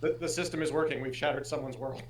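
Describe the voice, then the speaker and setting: A woman's voice: rumbling low, fast, echoey room, crowd noise. A woman making dry, sarcastic interjections in casual livestream banter.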